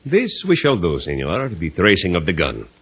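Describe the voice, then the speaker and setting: An actor playing a courtly, mock-sorrowful Cuban police official in an old-time radio drama, florid and formal, with a Cuban Spanish accent. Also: male voice, low-pitched, wildly swooping pitch, normal speed, a bit bright, breathy edge, exaggerated voice. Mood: courtly probing. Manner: florid, overly polite, formal.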